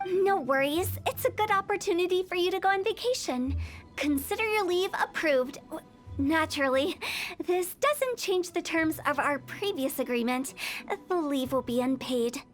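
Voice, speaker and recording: A woman's voice: very high voice, animated, normal speed, soft background music. A woman voicing a playful, cheeky young female funeral parlor director in a video game, with a teasing, breezy, theatrical delivery. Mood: cheerful, breezy. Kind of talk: video game character acting.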